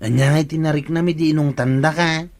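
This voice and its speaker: An actor with an Ilocano accent playing a chiding, matter-of-fact village hall aide in a radio comedy drama. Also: male voice, fairly high pitch, steady tone, quick pace, close to an everyday voice.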